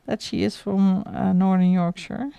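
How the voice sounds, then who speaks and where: A woman's voice: medium pitch, steady tone, a little slow, gravelly, mellow, smooth, no room sound. A middle-aged woman from the Netherlands with a Dutch accent, chatting casually and laughing often while she colours on a livestream. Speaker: livestream host.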